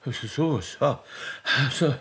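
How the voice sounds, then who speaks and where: An actor playing a muddled, pedantic Austrian railway official who stammers officiously in a comic dialect radio play. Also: male voice, mid-range voice, expressive, a little slow, husky. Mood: fussy, officious.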